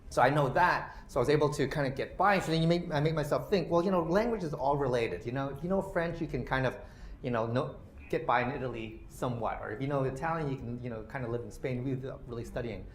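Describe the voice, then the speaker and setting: A man in his forties giving a lecture presentation, talking loosely with many "you know" and "uh" fillers. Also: medium pitch. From Canada. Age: 40s. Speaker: guest speaker. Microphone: microphone. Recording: roomy lecture hall sound.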